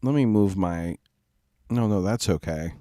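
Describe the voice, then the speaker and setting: A congested man with a stuffy nose talks slowly and loosely while hosting his live stream, apologizing and trailing off as he goes. His voice sits on the low side, and the sound is dry and close. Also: dark tone, no echo. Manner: rambling and apologetic, many fillers and restarts. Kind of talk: casual live-stream chat. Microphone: home streaming mic.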